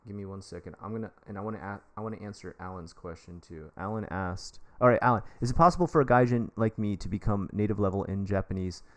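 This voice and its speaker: A man's voice: on the low side, fast talker, hardly any pauses. An American man, an English teacher, giving casual advice on a live stream in a rambling, jokey, chummy way, talking straight to his viewers. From United States. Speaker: livestream advice host.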